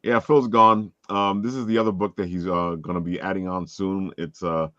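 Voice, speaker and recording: A man's voice: sits low, fairly even intonation, moderate pace, bright, forceful tone, no echo, muffled recording. A man with a strong voice hosting a casual live chat over a video call. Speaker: podcast host.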